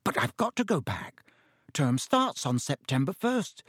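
A man's voice: a little high, big pitch swings, measured pace, fairly bright tone, husky, dry recording, studio quality, heavily acted. A man voicing an earnest, lonely schoolboy who speaks urgently and pleadingly in an audiobook story. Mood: upset, pleading.

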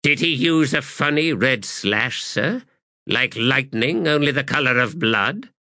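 A man's voice: medium pitch, lively intonation, a little slow, bright, forceful tone, dry recording, clean studio sound, pitched above the performer's own voice. A man voicing a polite, puzzled military subordinate who questions his commander in an audiobook. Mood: probing.

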